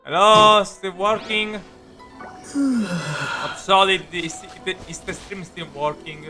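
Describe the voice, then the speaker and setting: A male streamer gives casual commentary in a bright, fairly high voice. He rambles, repeats his phrases and is prone to giggling, with faint game music behind him. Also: slow pace, low-quality recording. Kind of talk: casual live gameplay commentary.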